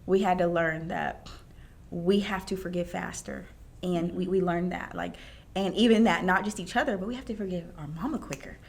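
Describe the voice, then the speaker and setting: A woman hosting a heartfelt, faith-based conversation, speaking in an animated, emphatic way and quick to laugh. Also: lowish voice, gravelly. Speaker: host interviewing her mother.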